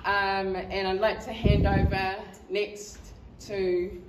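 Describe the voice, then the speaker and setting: A woman from New Zealand presents to a conference audience at a slow, halting pace, filling gaps with ums and nervous laughter. Her voice carries through an echoey hall, picked up from a distance over faint background noise. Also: medium pitch, flat delivery. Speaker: community member presenter.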